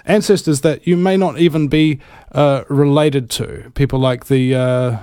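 Male talk radio host from New Zealand: casual, rambling, theatrical emphasis, mid-pitched, dry close sound. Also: fairly even intonation, unhurried, mellow, smooth, no echo. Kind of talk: casual live talk show monologue.

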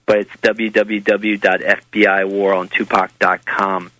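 An American man gives a casual interview as a guest. His voice is low and flat, with a bright, forceful edge. He explains things in a halting, rambling way, recorded very dry. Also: dry recording, low-quality recording. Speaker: interview guest, author and documentary maker.

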